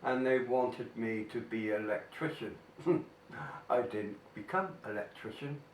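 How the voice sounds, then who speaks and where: An older man, talking casually through weekly questions while he has a cold, with many ums and mm-hmms. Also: medium pitch. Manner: slow, chatty, frequent mm-hmms and ums. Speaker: vlogger. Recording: echoey room, mic at a distance, faint noise.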